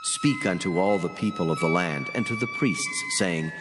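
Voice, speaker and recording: A man playing a solemn angel of God in a dramatized scripture reading, speaking slowly and gravely in a declamatory way. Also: low-pitched. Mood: stern. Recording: long cavernous reverb over loud music.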